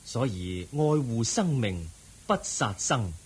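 An actor playing the Buddha as a serene, compassionate teacher in a radio drama, speaking measured, earnest Cantonese with a Hong Kong accent. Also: male voice, medium pitch, big pitch swings, husky, heavily acted. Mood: solemn, earnest.

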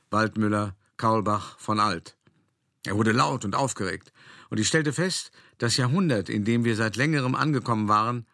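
An actor playing a cultivated, self-justifying art dealer, narrating a radio play in a measured, articulate and confiding manner. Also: male voice, medium pitch, a bit bright, no echo, studio quality. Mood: confiding, composed. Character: cultivated, self-justifying male art dealer recounting his forgery scheme.

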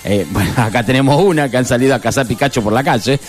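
A man's voice: medium pitch, quick pace, soft background music. A man co-hosting a casual radio show, chatty and full of fillers. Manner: lively, chatty, many fillers and laughs. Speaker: radio co-host.

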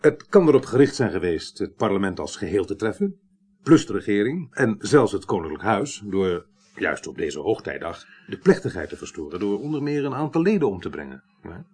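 A man acting a methodical, courteous investigator in a Dutch radio crime drama from the early eighties, reasoning aloud deliberately. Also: fairly low pitch, big pitch swings, a little mellow, breathy edge. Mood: analytical.

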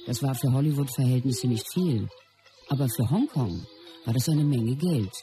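A woman's voice: a bass voice, expressive, normal speed, dark tone, faint music behind. A woman playing a close companion who narrates a star's life story in a scripted radio play. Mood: reflective.

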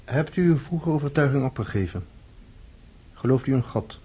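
An actor playing a stern, ceremonious young count and freemason sponsor in a radio drama, solemn and formal. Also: male voice, medium pitch, expressive, normal speed, mellow, breathy edge.

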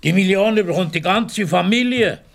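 A man's voice: sits high, normal speed, creaky. An actor playing a simple, earnest mountain farmer and father in a radio play, with a Swiss German accent. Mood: insistent.

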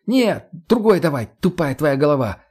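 A man voicing a brash, foul-mouthed, mocking young woman in an audiobook, with crude, lively delivery. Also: a little high, moderate pace, slightly rough, voice pushed up. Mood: bossy, excited. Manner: crude, slangy, loudly expressive.

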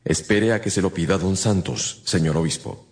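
Very deep, brooding priest played by an actor. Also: male voice, moderate pace, natural voice. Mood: cold, formal. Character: brooding, guarded middle-aged priest fighting his passion. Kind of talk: Spanish-language radio drama acting.

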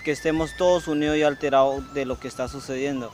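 Brief: halting man from Colombia, interviewee, middling pitch, faint music behind. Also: steady tone. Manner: halting, restarts words, sings a chant slowly. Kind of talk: casual interview answers.

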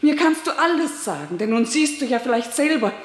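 A woman's voice: sits high, big pitch swings, brisk. A middle-aged woman playing an anxious, pious mother in a radio play, pleading and reproachful. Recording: reverberant studio hall.

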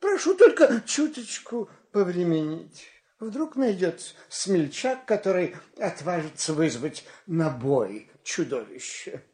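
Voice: mid-range voice, very animated, slow pace, darkish tone, roomy sound. An actor playing an anguished king and doting father in a fairy-tale audio play, speaking with emotional, pleading drama. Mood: anguished command.

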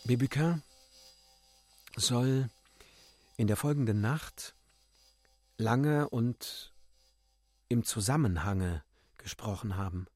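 Man, mid-pitched voice, slow solemn declamation, deliberate phrasing, literary radio play recitation, dry studio sound. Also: a little mellow, no room sound. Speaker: narrator and reciter.

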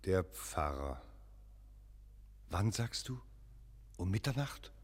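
A man's voice: low-pitched, sing-song, slow, full character voice. Solemn, troubled clergyman in echoing church. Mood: earnest, questioning. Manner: brooding, solemn, short insistent phrases. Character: troubled, earnest Protestant clergyman visiting a foreign church. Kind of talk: German radio play acting. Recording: long echoing church-like reverb.

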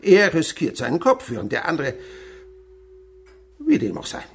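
A man's voice: fairly high pitch, huge pitch swings, moderate pace. An actor playing a miserly, callous old father in a German radio play, blunt, scornful and domineering. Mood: scornful, lecturing. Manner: blunt, scornful, lecturing, abrupt swings in delivery.

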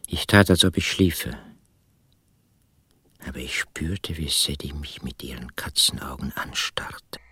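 An actor playing a brooding, wounded village husband in a radio drama, husky and intimate, often slipping into near-whispers. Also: male voice, a bass voice, unhurried, full character voice. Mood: brooding, suspicious. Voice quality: husky, hushed delivery. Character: brooding, wounded village husband narrating his own story. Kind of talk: German radio drama, first-person narration and dialogue.

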